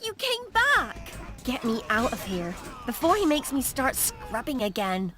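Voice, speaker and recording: Sharp-tongued young woman, high, lively, slow, music under. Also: exaggerated voice. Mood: teasing. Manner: blunt, teasing. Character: feisty young woman, blunt and mocking. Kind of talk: video game cutscene acting. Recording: game audio with music behind.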